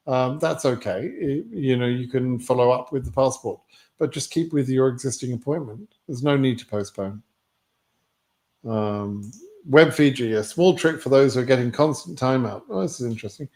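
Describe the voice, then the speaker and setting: A man's voice: medium pitch, darkish tone. Blunt British man answering live questions. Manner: blunt, matter-of-fact. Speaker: livestream host answering immigration lottery questions. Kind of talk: live Q&A, reading out viewer questions and answering off the cuff.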